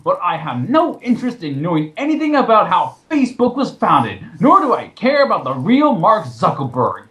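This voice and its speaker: Man putting on a high, petulant film critic. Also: huge pitch swings, moderate pace, fairly bright tone, echoey room, muffled recording, heavily acted. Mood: whiny indifference. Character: whiny, exaggeratedly indifferent male film critic being mocked. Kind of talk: mocking dramatic reading.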